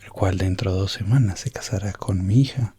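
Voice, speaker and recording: A man voicing a grave, guarded queen in a whispered fantasy ASMR story, speaking formally and haltingly. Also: deep voice, dark tone, slightly rough. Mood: grave, worried. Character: grave, guarded queen pleading for her kidnapped daughter.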